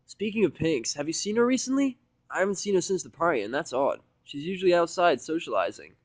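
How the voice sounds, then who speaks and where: Guy playing a chill dj mare from a cartoon, higher voice, friendly and slangy. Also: very animated, fast talker, dry recording. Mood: curious, concerned. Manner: casual, upbeat, slangy. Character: laid-back, friendly, slangy female DJ cartoon pony.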